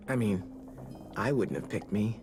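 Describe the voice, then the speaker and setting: A man's voice: on the low side, expressive, takes it slowly. An American actor playing a charming, self-deprecating teenage boy in a video game, with a wry, hesitant, conversational delivery. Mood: hurt, uncertain. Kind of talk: naturalistic video game voice acting. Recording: music behind the voice.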